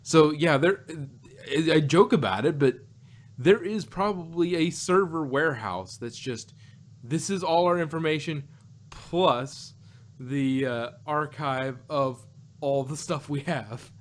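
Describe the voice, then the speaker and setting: A man's voice: on the high side, takes it slowly. A man co-hosting an audio drama review podcast, talking in a rambling way with many restarts and fillers. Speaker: podcast co-host. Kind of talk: casual chat.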